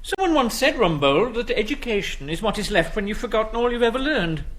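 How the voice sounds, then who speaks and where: An actor playing a pompous, sardonic schoolmaster with an English accent in a radio drama, formal and withering. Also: male voice, sits high, big pitch swings, a little fast, a bit bright.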